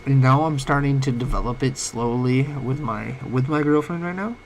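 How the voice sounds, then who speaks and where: A young man with a cold talks late at night, his voice cracking now and then. He rambles and halts, full of fillers and restarts, into a home mic whose volume rises and falls. Faint hiss sits under the voice. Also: mid-range voice. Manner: rambling, halting, many fillers. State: sick, voice cracking. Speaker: vlogger. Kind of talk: late-night personal talk. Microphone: home microphone, uneven volume.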